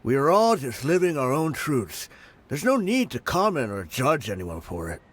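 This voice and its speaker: A calm male mercenary who talks plainly and easily. His mid-range voice moves up and down a lot. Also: mid-range voice, moderate pace. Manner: calm, plain-spoken and easygoing. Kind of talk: game cutscene voice acting.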